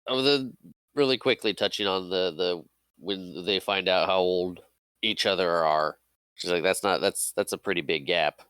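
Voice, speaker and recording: A man's voice: sits low, normal speed, fairly bright tone, clear, resonant tone, no echo. A man co-hosting a podcast in casual chat about a film, often laughing and chiming in with short agreements. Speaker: podcast co-host.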